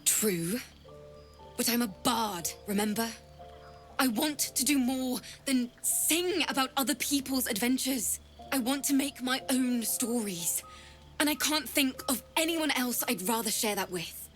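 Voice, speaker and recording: A woman's voice: sits high, measured pace, fairly bright tone, soft background music. A young woman voicing an earnest female bard who talks in an eager, heartfelt way.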